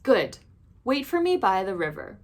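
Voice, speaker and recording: A woman voicing a brave, quick-witted young girl in a storybook, crying out urgently and persuasively. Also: mid-range voice, sing-song, close to an everyday voice. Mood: brisk.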